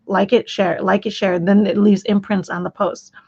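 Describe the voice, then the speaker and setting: A woman hosts a live stream, her voice heavy with gravelly fry and a husky edge. She rambles, repeating words and restarting her sentences. The stream audio is dull and lo-fi. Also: mid-range voice, little pitch movement, a little fast, a little mellow. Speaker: livestream host.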